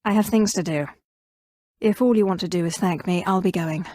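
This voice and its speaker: A woman playing an imperious, calculating Sith sorcerer in a video game, with a measured, haughty and coolly threatening delivery. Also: lowish voice, darkish tone, no room sound, close to an everyday voice. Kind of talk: scripted game character dialogue.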